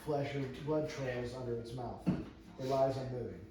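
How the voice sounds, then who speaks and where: A man's voice: mid-range voice, fairly even intonation, a little slow, mellow. Man narrating screenplay directions in an echoey room. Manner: plain, stumbles and rereads phrases. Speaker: narrator reading stage directions. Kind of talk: amateur table read of a screenplay. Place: indoor room with a few other readers. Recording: echoey room, distant mic, noisy.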